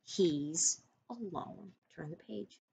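A middle-aged American woman reading a picture book to young children as their teacher, expressively, with deliberate instructing asides. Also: fairly low pitch, animated, gravelly, mellow, slightly rough. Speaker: first-grade teacher. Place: small room indoors, seated at a desk.

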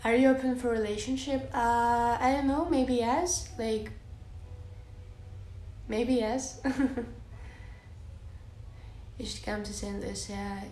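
A young woman with a Russian accent talks slowly and softly, filling her answers with "like" and "uh" as she responds to viewers. She speaks close to a phone while lying on her bed. The bedroom sounds echoey, with a faint hum of ambience behind her. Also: on the high side, fairly even intonation. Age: young adult. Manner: soft and giggly, many fillers like "like" and "uh". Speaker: livestreamer. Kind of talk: casual livestream chat, reading out comments. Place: bedroom, indoors. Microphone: phone held close, lying on a bed.

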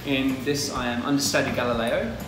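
A man's voice: medium pitch, fairly even intonation, brisk. A man from Western Australia answering casually, rambling and playful. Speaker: cast member. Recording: echoey room with music behind.

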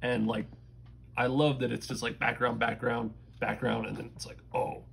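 A man co-hosting a comics show, chatting casually in a halting way full of filler words. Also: mid-range voice, flat delivery, creaky, fairly bright tone, a little hiss or hum. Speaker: co-host of a comics review show. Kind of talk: casual chat.

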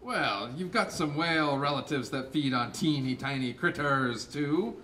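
Puppet barnacle, male actor: pompous, bright and forceful, recorded from a distance with faint noise. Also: fairly high pitch, expressive, measured pace. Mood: grandiose. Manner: grandiose, theatrical delivery. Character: boastful barnacle on the deep seafloor. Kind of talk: live puppet show.

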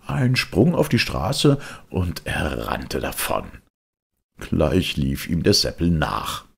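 Very deep, gravelly male storyteller. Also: huge pitch swings, takes it slowly. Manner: dramatic, addresses listeners directly. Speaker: audiobook narrator. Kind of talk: expressive children's story narration.

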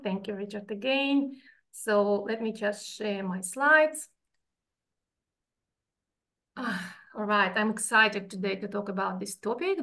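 Russian-accented woman presenting a webinar. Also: medium pitch. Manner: explanatory, steady, walking through slides. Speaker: financial advisor presenting a webinar. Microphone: video call.

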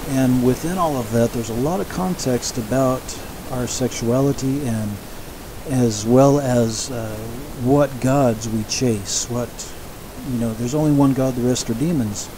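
A man giving a measured, explanatory Bible lecture, often restarting his sentences and adding asides. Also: mid-range voice, noisy background. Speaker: Bible teacher. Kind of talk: sermon-style Bible lecture.

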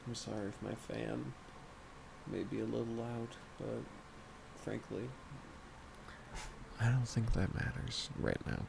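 A man playing a worried, devoted boyfriend in an intimate ASMR roleplay, speaking in a halting, tender hush that often drops toward a whisper, with a gravelly edge. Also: mid-range voice. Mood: soothing, loving. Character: worried, devoted boyfriend comforting his partner. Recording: steady fan noise behind the voice. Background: whirring fan.

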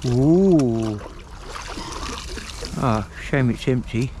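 A man casually commenting on his finds while magnet fishing on a canal towpath, in a slow, relaxed way. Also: medium pitch, background noise. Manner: slow, relaxed, remarks on each find. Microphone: body-worn action camera.

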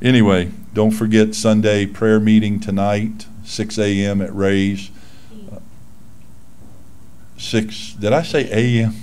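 Older male pastor, low and smooth, folksy and self-deprecating, lectern mic in a small church room. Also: little pitch movement, takes it slowly, long pauses, darkish tone. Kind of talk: casual church announcements. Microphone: lectern microphone.

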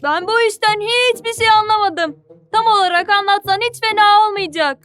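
A woman's voice: very high-pitched, normal speed, a bit bright, dry recording. A woman voicing a small, caring and loyal young sea creature with a sincere, childlike way of talking in a cartoon. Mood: puzzled. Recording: music bed under the voice.

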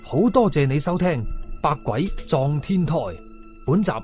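A man's voice: a little high, animated, unhurried, a little mellow, soft background music. A man with a Hong Kong Cantonese accent telling a horror story in a suspenseful, colloquial, dramatic style. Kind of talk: dramatic scripted horror narration.

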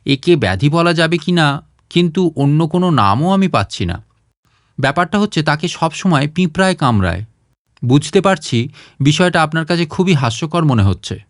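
Male narrator for short fiction: slightly high voice, very dry close sound, expressive reading. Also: normal speed, dry recording. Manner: expressive, acts out dialogue. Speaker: storyteller, audiobook narrator. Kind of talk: dramatised scripted short-story narration.

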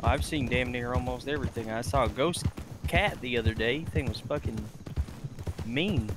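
A man in western roleplay who talks loudly and swears freely, with a strong speaking voice. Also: medium pitch, normal speed, noisy background. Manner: loud, lively, swearing freely. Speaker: roleplay gamer playing a character named William. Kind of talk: in-character western roleplay chat.

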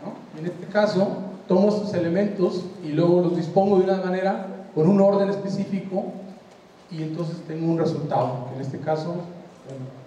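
A man's voice: sits high, moderate pace, warm tone, slight background noise. Older Mexican man lecturing in an echoey hall. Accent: Mexican Spanish. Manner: conversational, checks in with the audience. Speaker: design lecturer. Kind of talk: conference lecture. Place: large hall with seated audience. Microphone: handheld mic. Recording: roomy hall echo from far off.

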